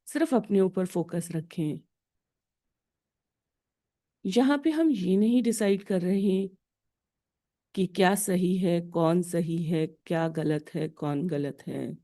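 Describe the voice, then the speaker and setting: Woman, Indian accent, meditation guide, unhurried pace, lowish pitch, short deliberate phrases with pauses. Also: mellow. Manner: slow, deliberate, instructional, pausing between short phrases.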